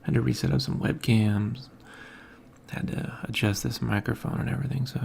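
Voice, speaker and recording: Quiet gravelly-voiced man talking softly. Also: medium pitch, steady tone, moderate pace, slight background noise. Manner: quiet, soft-spoken, mumbling. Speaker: podcast host. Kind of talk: casual morning monologue. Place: home studio room. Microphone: hanging overhead mic.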